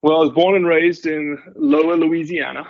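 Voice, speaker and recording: A man's voice: fairly high pitch, steady tone, normal speed, dry recording, lo-fi sound. A South African man in his forties talking casually as a podcast guest, humble and dryly joking. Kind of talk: casual interview storytelling.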